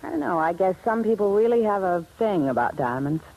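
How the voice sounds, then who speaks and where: A woman's voice: mid-range voice, expressive, fairly quick, a little mellow, poor audio. A woman playing a cool, hard, money-minded glamour girl in 1950s radio noir, offhand and unsentimental.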